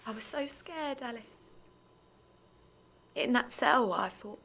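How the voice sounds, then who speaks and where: A woman's voice: a little high, expressive, slow pace, sharp tone, full character voice. A woman playing an anxious, naive young mother in an intimate audio drama. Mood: fearful, guilty. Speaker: actor and narrator in an audio drama. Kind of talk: intimate first-person audio drama acting.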